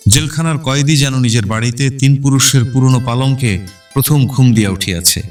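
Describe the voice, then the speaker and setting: A man's voice: medium pitch, brisk, recorded from a distance. A man reading literary narration in a dramatic, scripted audio-story style. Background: background instrumental music.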